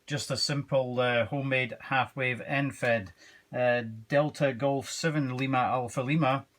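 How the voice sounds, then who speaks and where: Man, amateur radio operator, hesitant halting delivery, casual exchange, radio procedure words. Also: mid-range voice, fairly even intonation, fairly bright tone.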